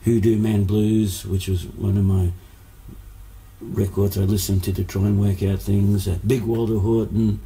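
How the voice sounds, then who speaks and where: Older man with a flat, dark voice. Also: fairly low pitch, measured pace, slight background noise, low-quality recording. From Hungary. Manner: rambling, lots of fillers and restarts. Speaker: musician guest. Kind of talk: casual interview, storytelling.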